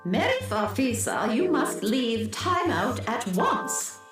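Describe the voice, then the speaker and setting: A woman's voice: a little high, very animated, slow. Woman playing a stern, echoing space governess. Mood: formal, scolding. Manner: stern, formal, prim. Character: stern, prim governess running time out. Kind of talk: children's audio drama acting. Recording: heavy echo, music behind.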